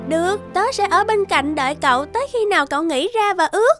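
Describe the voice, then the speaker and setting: Very high, childlike little fairy girl. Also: lively intonation, quick pace, a bit bright, faint music behind. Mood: friendly, earnest. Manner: wistful, exuberant, childlike. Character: tiny cheerful girl fairy living in a vase. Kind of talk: animated cartoon dubbing.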